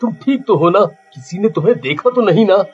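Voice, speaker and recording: A man's voice: sits high, animated, moderate pace, a little mellow, slightly rough. A man voicing an anxious, devoted husband in a cartoon, urgent and melodramatic. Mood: worried. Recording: dull lo-fi track with background music.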